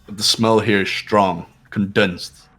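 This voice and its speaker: Give this to a man acting an imperious young snob in a game dub. The voice sits low with a rough, husky edge. Every phrase is clipped, cold and impatient. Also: fairly even intonation, slow pace, bright, forceful tone, faint music behind, exaggerated voice. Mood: grim, cold. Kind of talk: fan playthrough character voice acting.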